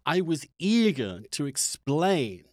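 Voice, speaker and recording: A middle-aged man in an opinionated political discussion, halting and repeating words as he builds his arguments. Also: a little high, very animated, dry recording, clean studio sound.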